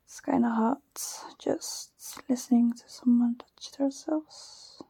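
A woman's voice: on the high side, takes it slowly, vocal fry, husky, dry recording. Shy giggly Dutch woman whispering. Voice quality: soft whisper. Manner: shy, giggly, nervous, hesitant with many fillers. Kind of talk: whispered personal ramble, ASMR-like. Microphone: close microphone.

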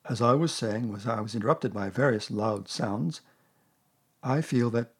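A man's voice: medium pitch, a little slow, breathy edge. A man voicing a gloomy, self-important donkey in a storybook, speaking in a doleful, ponderous, long-winded way. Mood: stern, self-important. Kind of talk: storybook character voice.

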